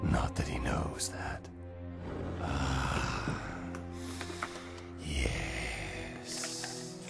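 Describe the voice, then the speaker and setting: An actor voicing a cruel, mocking ancient vampire lord in a game cutscene, slow and contemptuous. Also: male voice, expressive, exaggerated voice. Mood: sneering. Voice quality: deep and gravelly. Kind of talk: video game cutscene acting. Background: background music.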